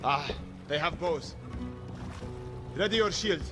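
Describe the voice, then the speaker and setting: A man's voice: a little high, slow pace, loud music behind the voice. A man playing a watchful, blunt guard and guide in an audio adventure game, terse and commanding. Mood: urgent. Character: seasoned male guard and guide, watchful, blunt, gruff protector.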